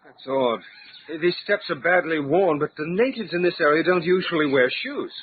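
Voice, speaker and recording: An actor playing a proper, well-travelled gentleman adventurer in an old-time radio serial, with a theatrical, musing manner. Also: on the high side, lively intonation, normal speed, husky. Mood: curious. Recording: tinny band-limited radio drama, background noise.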